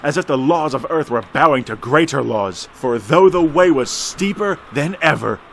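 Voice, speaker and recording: A man's voice: fairly high pitch, lively intonation, moderate pace, creaky, fairly bright tone, a little hiss or hum. A man narrating a retro radio horror drama in a dramatic, literary storytelling manner.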